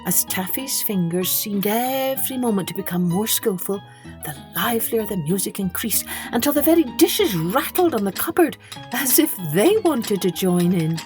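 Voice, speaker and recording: A woman's voice: medium pitch, sing-song, moderate pace, darkish tone, soft background music. A woman reading a children's story as a podcast storyteller, expressively, with dramatic emphasis on key words.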